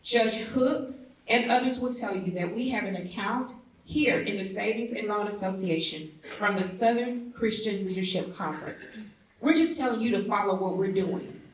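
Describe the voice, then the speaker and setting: A woman's voice: medium pitch, normal speed. A woman reading a historic speech aloud at a public event in an oratorical, declarative manner. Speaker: reader at a commemorative event. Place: indoor theatre event. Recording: echoey room, distant mic.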